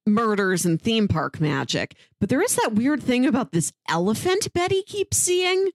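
A woman's voice: a little high, sing-song, moderate pace, no echo. Woman chatting casually, close dry sound. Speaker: podcast co-host. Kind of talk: casual review chat.

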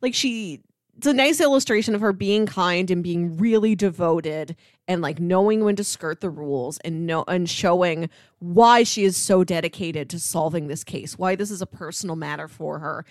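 A woman's voice: lowish voice, normal speed, no echo, studio quality. A woman co-hosting a podcast, discussing television in a casual, conversational review style. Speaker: podcast co-host.

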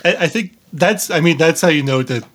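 A man interviewing casually as a podcast host, in a halting way, often rephrasing as he goes. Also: a little high, a bit bright.

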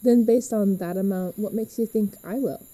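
Casting: woman as easygoing teen girl, reassuring and casual, very soft dark tone with some husk, podcast drama. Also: medium pitch, normal speed, close to an everyday voice. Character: easygoing, reassuring teenage girl, new in town. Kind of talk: fiction podcast acting.

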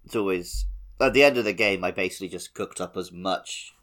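A man co-hosting a casual gaming podcast, relaxed and chatty, with wry asides. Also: low-pitched, brisk. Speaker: podcast co-host. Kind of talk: casual game-talk podcast.

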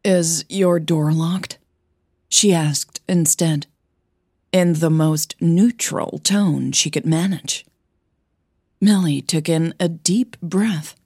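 Female narrator for audio fiction. Low, husky voice, measured and dramatic delivery. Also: darkish tone, no echo, clean studio sound.

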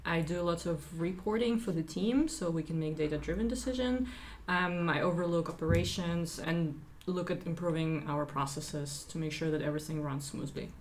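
A woman's voice: on the low side, normal speed, slight background noise. A woman from Ukraine, a recruiting team lead answering interview questions earnestly, with some fillers.

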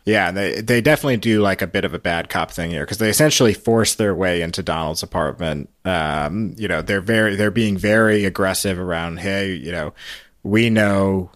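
A man hosting a podcast, recapping a story in a casual, halting, explanatory way. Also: low voice, no room sound, clean studio sound. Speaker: podcast host.

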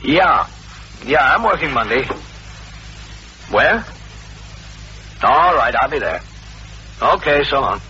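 A man's voice: mid-range voice, big pitch swings, bright, forceful tone, full character voice. A middle-aged American police captain in an old radio drama. He is composed, businesslike and matter-of-fact. The sound is dull, with faint vintage hiss. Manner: measured, matter-of-fact, official. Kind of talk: old-time radio drama, first-person police narration and dialogue.

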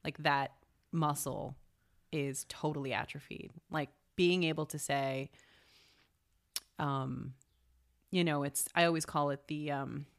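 A man co-hosting a casual talk show, talking in an animated way, often tagging on a rhetorical "right?" and slipping in jokes. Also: on the high side, slow, long pauses. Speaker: podcast co-host. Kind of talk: casual conversational chat.

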